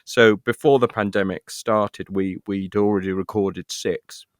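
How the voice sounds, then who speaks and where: A man's voice: on the low side, fairly even intonation, measured pace, dry recording, clean studio sound. A British man chatting casually as an interview guest, rambling a little with hesitant, self-deprecating asides. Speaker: interview guest, actor and writer.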